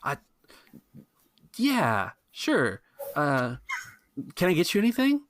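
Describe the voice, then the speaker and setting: A man's voice: fairly high pitch, wildly swooping pitch, takes it slowly, a bit bright, no room sound, studio quality, pitched above the performer's own voice, heavily acted. A man voicing a timid, anxious young waiter who asks things in hesitant stammers. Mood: anxious, pleading.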